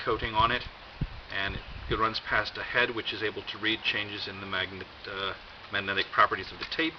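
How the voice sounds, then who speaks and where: An American man giving a casual, rambling show-and-tell with wry, deadpan humour and a halting delivery. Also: fairly low pitch. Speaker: video host. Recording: dull lo-fi audio, loud background noise.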